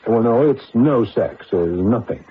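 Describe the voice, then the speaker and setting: Flustered, animated American scientist. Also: male voice, medium pitch, measured pace, slightly rough. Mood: patient, flustered. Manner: hesitant, stammering. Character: flustered, anxious male computer scientist. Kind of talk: radio drama acting. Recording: narrowband old radio, faint noise.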